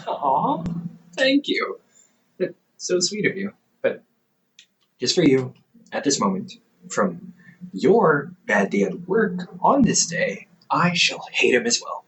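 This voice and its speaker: A man's voice: a little high, big pitch swings, slow, pauses often, a bit bright, recorded from a distance, slight background noise, heavily acted, poor audio. A man voicing a caring, goofy older brother in an audio roleplay, playful and chatty, laughing often.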